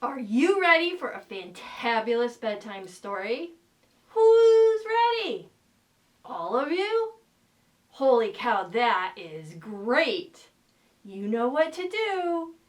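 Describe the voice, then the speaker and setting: A woman's voice: on the high side, very animated, takes it slowly. A woman reading a bedtime story to young children with exaggerated, sing-song emphasis. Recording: roomy, distant microphone.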